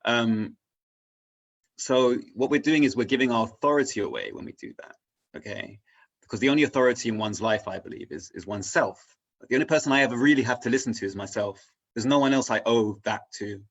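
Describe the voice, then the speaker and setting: A man talking casually and reflectively as a guest, haltingly searching for his words and often checking in with "right?". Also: medium pitch, dull audio. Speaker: interview guest on spirituality.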